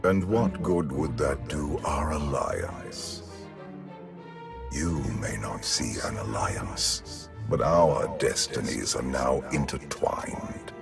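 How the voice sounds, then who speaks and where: An actor playing an ominous male spirit in a game, speaking in a measured, commanding, cryptic way. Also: very deep voice, creaky, exaggerated voice. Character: ominous male spirit dwelling inside the hero's head. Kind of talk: scripted game cutscene acting. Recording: music playing underneath.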